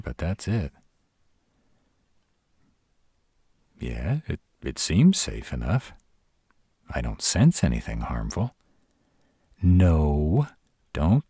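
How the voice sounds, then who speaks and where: Very deep, husky male were-dragon lover, acted by a man. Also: a little mellow, dry recording, studio quality, exaggerated voice. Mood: wary, protective. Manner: tsundere, teasing yet caring, hesitant pauses. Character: gruff, protective, tenderly sarcastic male were-dragon lover. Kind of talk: romantic fantasy audio roleplay, speaking to the listener.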